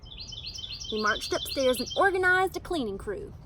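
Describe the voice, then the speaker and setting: A woman reading a children's picture book aloud in an animated, emphatic storytelling manner. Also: very high voice, measured pace, noisy background. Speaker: storybook reader.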